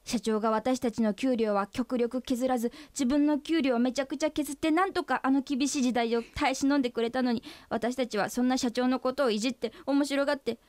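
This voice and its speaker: A woman's voice: sits high, steady tone, a little fast, few pauses, darkish tone, no room sound, lightly acted. An actress playing an earnest, forthright female office employee pressing her boss in a comic radio drama. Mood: earnest, embarrassed.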